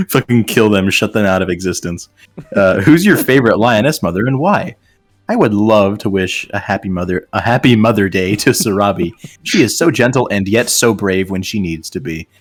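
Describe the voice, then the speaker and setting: A man's voice: lowish voice, expressive, moderate pace, soft background music. A man co-hosting a casual comedic reaction session, swearing freely and mocking what he reads out with sarcasm. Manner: profane, sarcastic. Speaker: co-host reacting to fan posts. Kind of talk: casual comedic banter, mocking read-outs.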